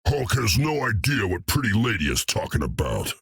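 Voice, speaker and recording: A man voicing a hulking, cocky green brute in a video game, gruff and blunt, talking about himself in the third person with a gravelly, husky voice. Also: on the low side, wildly swooping pitch, normal speed, dry recording, clean studio sound, full character voice. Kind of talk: video game character dialogue.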